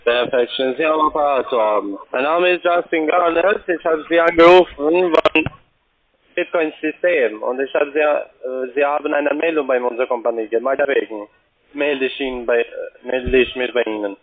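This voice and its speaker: A man who is not a native German speaker, giving a sales pitch as a cold caller. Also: moderate pace. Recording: tinny phone line.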